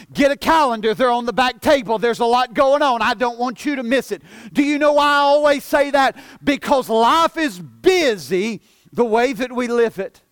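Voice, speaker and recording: A man of forty preaching a sermon as pastor, earnest, often repeating and stumbling over words, dropping soft for emphasis. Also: extremely high pitch, no echo. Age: 40s.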